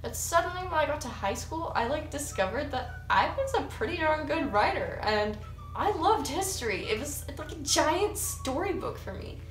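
A chatty eighteen-year-old woman with an American accent talks to her viewers, her voice sitting a bit high. She sounds a few feet from the camera in a small room with bounce off the walls, and faint music plays underneath. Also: very animated, moderate pace, a bit bright, roomy sound, far from the mic, rough recording. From United States. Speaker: YouTuber. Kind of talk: casual get-to-know-me vlog. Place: small room with cinder block wall and couch, indoors. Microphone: camera mic a few feet away.

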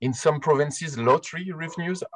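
A man in his forties from France with a French accent, giving an online presentation about arts funding over a video call, pausing often with "uh" and "um". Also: on the high side, no room sound, poor audio. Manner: hesitant, many "uh" fillers. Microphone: headset on a video call.

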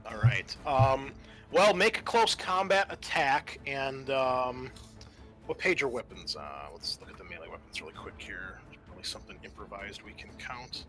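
A man with a bright, forceful voice at a middle pitch runs a tabletop game. He narrates casually and thinks aloud, with faint music underneath. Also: animated, normal speed. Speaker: game master.

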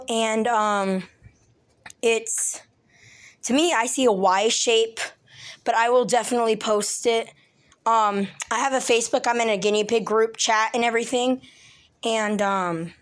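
A woman with a raspy voice, a little sick and clearing her throat, chatting casually behind a phone camera in a room, with lots of ums and likes. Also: fairly high pitch. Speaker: pet channel host. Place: small room indoors. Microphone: handheld phone, mostly behind the camera.

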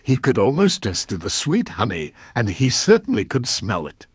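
A man narrating a children's story in an expressive read-aloud style. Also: medium pitch, moderate pace, slightly rough, no room sound, clean studio sound.

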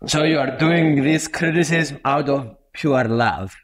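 A man from Europe interviewing a guest, speaking haltingly with many fillers. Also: on the high side, a crowd in the background. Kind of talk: casual interview talk.

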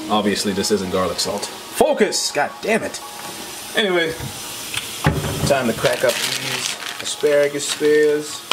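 A man's voice: medium pitch, heavy background noise. Slangy young man vlogging from his kitchen. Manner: laid-back slangy, keeps saying "man". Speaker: fitness vlogger. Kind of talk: casual cooking vlog. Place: home kitchen. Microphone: handheld camera mic.

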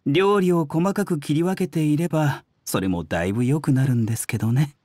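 A man playing a courteous young herbal doctor in a video game, soft-spoken, polite and measured. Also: on the high side, dry recording, clean studio sound, lightly acted. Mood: explanatory, modest. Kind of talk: Japanese game voice acting.

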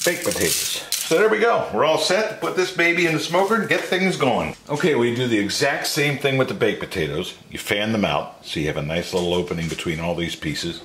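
A man's voice: mid-range voice, lively intonation, brisk, hardly any pauses, a bit bright, a little hiss or hum. A man in his sixties hosting a casual home cooking video in a folksy manner, with jokey asides. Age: 60s. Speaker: host of a home cooking channel. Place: home kitchen and backyard patio.

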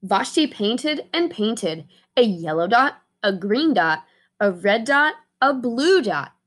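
A young adult woman reading a picture book aloud as host, with expressive, deliberate storytelling. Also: fairly high pitch. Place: small indoor room.

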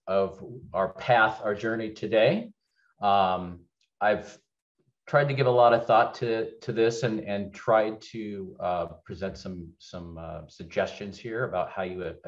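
A middle-aged man with a very low voice speaks over a webcam from an office. He talks in a candid, conversational way, pausing on fillers and restarting phrases. The call audio is dull and lo-fi. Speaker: keynote speaker, legal executive. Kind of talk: webinar keynote presentation. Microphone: webcam on video call.

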